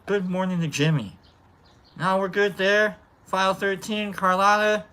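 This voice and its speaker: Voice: mid-range voice, fairly even intonation, unhurried, sharp tone, smooth. A live stream host with a nasal voice, chatting casually and rambling while greeting viewers by name. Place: outdoor lounge by a fire pit.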